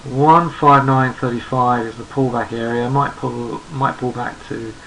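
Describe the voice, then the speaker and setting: Man, hoarse, gravelly, think-aloud chart commentary, unhurried. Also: mid-range voice, steady tone, a bit bright, slight background noise, rough recording. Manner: thinks aloud, unhurried, repeats words while working through charts. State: hoarse, losing his voice. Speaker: trading analyst. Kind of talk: screen-recorded market chart commentary.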